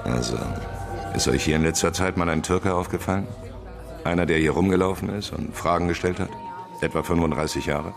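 Sceptical press sleuth, radio play: very low male voice, laconic, dry-humoured, faint music beneath. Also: fairly quick, gravelly. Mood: low-key probing. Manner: laconic, questioning. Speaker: actor. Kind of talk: naturalistic German radio drama acting.

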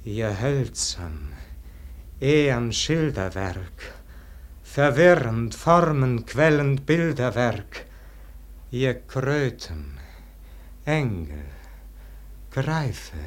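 Weary, brooding scholar, very slow. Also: male voice, medium pitch, lively intonation. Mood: yearning, fervent. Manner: declamatory, swinging from hushed murmur to ringing lament. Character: weary brooding male scholar, full of regret and longing. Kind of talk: slow theatrical verse monologue, classic German radio play.

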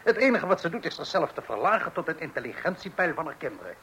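A man's voice: a little high, sing-song, fast, sharp tone, a little hiss or hum, rough recording. An actor playing an opinionated, self-righteous, pompous man in a Dutch radio play. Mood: disapproving. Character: opinionated, self-righteous man who believes in strict child-rearing.

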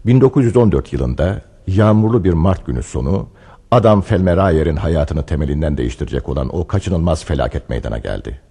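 A man with a very deep, mellow voice narrates a radio play. His delivery is measured and solemn, with a husky edge. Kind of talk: scripted radio drama narration.

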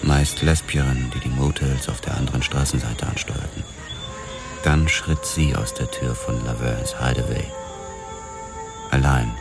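A very deep-voiced noir cop. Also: male voice, fairly even intonation, creaky, loud music behind the voice, full character voice. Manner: terse, measured, dry. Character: world-weary male cop narrating his case. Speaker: first-person narrator, a police officer. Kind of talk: hard-boiled noir narration.

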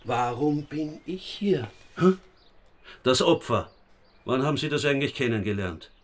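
A man's voice: medium pitch, animated, unhurried. An actor in a radio drama playing a sarcastic, needling police inspector who mocks as he questions. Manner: mocking, interrogating.

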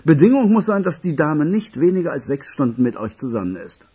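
Husky, swooping young charmer. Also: male voice, on the high side, big pitch swings, a little fast, mellow. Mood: boastful, excited. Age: young adult. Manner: smooth-talking, teasing, theatrical. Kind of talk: radio crime drama acting.

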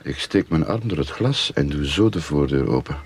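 A cunning investigator in a radio play, dry and pushy. The voice is deep, yet moves about in animated swings of pitch. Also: male voice, normal speed, a little hiss or hum. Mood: puzzled, brisk. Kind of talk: radio drama acting.

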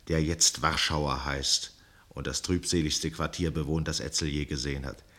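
A man narrating a 1960s radio play in a measured, precise literary style with dramatic pauses. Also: extremely low pitch, fairly bright tone, slightly rough.

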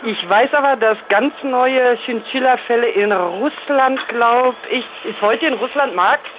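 A man with a high voice, amused and playful as he chats casually from a shop. The phone line is dull and clearly noisy. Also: a little slow.

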